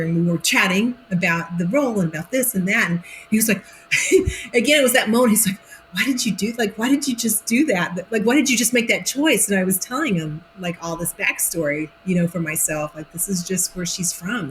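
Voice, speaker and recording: An actress guest from Oklahoma, speaking in a casual interview with a faint Oklahoma accent and telling stories with lively acted-out quotes. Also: female voice, medium pitch, slight background noise, low-quality recording. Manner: animated storytelling, acts out quotes.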